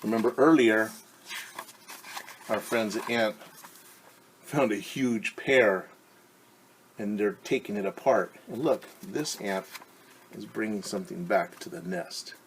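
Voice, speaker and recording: A man teaching young children, reading a picture book aloud and gently asking them questions. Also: on the low side, slow pace, long pauses, slight background noise. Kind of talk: children's story time read-aloud.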